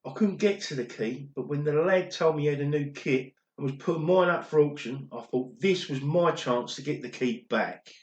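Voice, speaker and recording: A man's voice: a little high, moderate pace, few pauses, highs cut off, close to an everyday voice. A man playing an older, regretful former pop drummer who confesses an old secret in an amateur radio play. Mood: sheepish. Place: recorded alone at home, indoors. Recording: roomy home recording.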